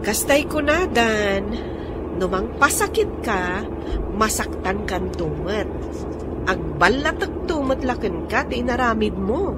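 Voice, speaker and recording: A woman's voice: medium pitch, very animated, slow pace, heavy background noise. A woman playing a frank, sensible, concerned friend in an Ilocano radio drama. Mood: reproving.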